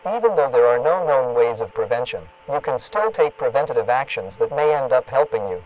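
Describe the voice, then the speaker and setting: A man playing an official emergency alert announcer, reading a scripted warning in a formal, impersonal, measured way through a radio filter. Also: mid-range voice, animated, a little hiss or hum. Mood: formal, grave. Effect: radio broadcast filter. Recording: narrow, phone-like radio broadcast sound.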